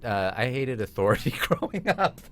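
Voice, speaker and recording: A man's voice: mid-range voice, big pitch swings, moderate pace, a bit bright. A man from Iran, a guest musician chatting loosely on a radio talk show and saying "like" often. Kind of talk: casual chat.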